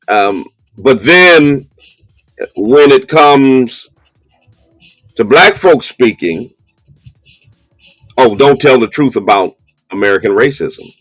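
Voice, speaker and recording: A man hosting a call-in radio show, talking in a measured way with many pauses and ums. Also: mid-range voice, a bit bright, no room sound, poor audio. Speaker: radio talk show host.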